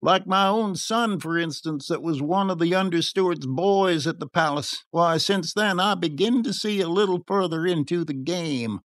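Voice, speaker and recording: A man's voice: high-pitched, normal speed, hardly any pauses, a bit bright, no echo, voice pushed up. A man voicing an aggrieved, disillusioned townsman in an audiobook, complaining and wary. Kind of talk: audiobook character acting.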